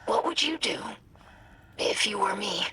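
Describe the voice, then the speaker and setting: A woman's voice: medium pitch, expressive, a little slow, bright, forceful tone, breathy edge, full character voice. A woman playing an earnest, anxious young alien scientist in a video game, speaking hesitantly and formally. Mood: self-doubting. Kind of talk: video game dialogue acting.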